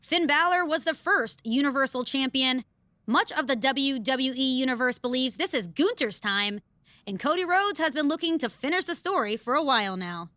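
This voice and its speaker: A teasing female wrestling host gives scripted game commentary. Her voice is high and forceful, recorded very dry and close. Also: expressive, moderate pace, no echo. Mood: teasing, brisk.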